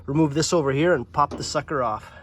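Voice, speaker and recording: A man with a slightly higher voice, a touch nasal, talks casually as he tinkers in his home workshop. He thinks aloud in a chatty, offhand way, with a faint hum of noise underneath. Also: quick pace, a bit bright. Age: young adult to middle-aged, father of a daughter. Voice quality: slightly nasal from a sinus infection. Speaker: workshop channel host. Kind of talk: casual repair vlog narration. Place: home workshop or yard beside a mower.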